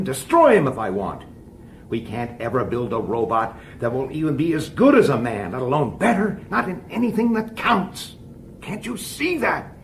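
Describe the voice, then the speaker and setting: An actor playing a hot-tempered, impatient police detective in a radio drama, arguing emphatically and with exasperation. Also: male voice, on the high side, gravelly, a little hiss or hum, heavily acted. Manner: emphatic, exasperated delivery with rhetorical questions.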